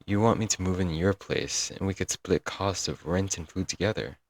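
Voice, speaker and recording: Young male yandere stalker, played by a man: very low, dry-recorded, doting, earnest, clingy, for intimate audio roleplay. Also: normal speed, no room sound. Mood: touched, hopeful. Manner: doting, earnest, dramatic with sighs and chuckles. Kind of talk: intimate ASMR audio roleplay, scripted monologue.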